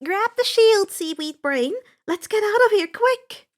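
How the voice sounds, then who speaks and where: Woman acting high, bossy, excitable adventurer girl. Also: a little slow. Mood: urgent, bossy. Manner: exclamatory, exasperated.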